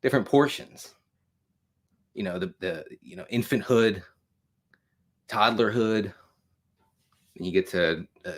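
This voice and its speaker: Rambling, low-voiced American man of forty. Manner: rambling, repeats himself, wry self-deprecating asides. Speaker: host of a live show on fatherhood. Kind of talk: casual livestream monologue.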